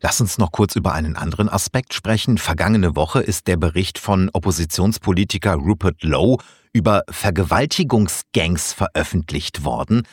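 Low, husky male news interviewer. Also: moderate pace, dry recording, clean studio sound. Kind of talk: prepared interview questions.

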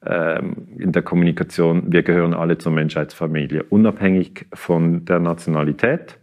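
A middle-aged man speaks in a very low voice. He explains his points in a measured way, often pausing and restarting his sentences. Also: steady tone, darkish tone, dull audio. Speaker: historian and interview guest. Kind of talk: interview answers.

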